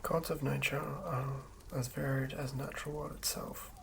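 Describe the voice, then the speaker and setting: A host whispering in a breathy, hushed ASMR style, reading aloud and commenting casually in an unhurried way. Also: mid-range voice, fairly even intonation, background noise. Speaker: host reading and building a tabletop game character.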